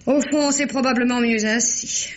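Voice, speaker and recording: Think of a woman voicing a composed, gently ironic actress in a vintage radio play. Her voice sits a little high, and she speaks with a poised calm. The sound is dull and muffled, like an old broadcast. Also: normal speed, close to an everyday voice. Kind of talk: 1950s French radio drama acting.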